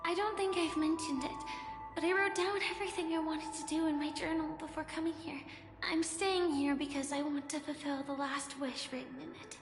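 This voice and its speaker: An actress playing a gentle, shy, wistful young woman in a video game, soft-spoken and hesitant. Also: high voice, fairly even intonation, fairly quick. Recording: reverberant hall echo, music behind.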